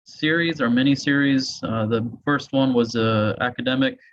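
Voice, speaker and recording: A man's voice: medium pitch, moderate pace, slight background noise. Man moderating, dull video-call sound. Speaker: host and moderator. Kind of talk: informal webinar moderating.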